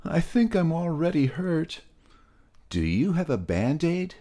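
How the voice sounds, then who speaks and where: A man's voice: on the high side, sing-song, a little slow, warm tone, voice pushed up. A man voicing a reckless young male pig who whines and pleads in a raised voice. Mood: whiny. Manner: whiny, pleading.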